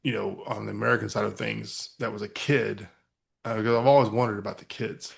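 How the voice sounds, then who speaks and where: Gravelly-voiced man telling a rambling story. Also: fairly low pitch, steady tone, brisk. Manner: rambling, many fillers and restarts. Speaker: podcast host. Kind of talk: casual conversational storytelling.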